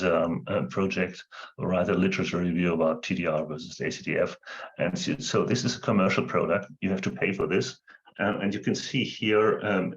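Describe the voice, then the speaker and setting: Gravelly low man, non-native English speaker. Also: dull audio. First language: not English. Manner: halting, many ums and uhs. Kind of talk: screen-share demonstration.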